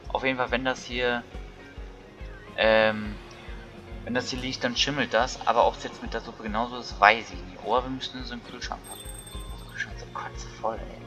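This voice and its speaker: A man's voice: medium pitch, fairly even intonation, a little fast, sharp tone. A man giving casual let's play commentary, thinking aloud in colloquial speech. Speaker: gaming video creator. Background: game music.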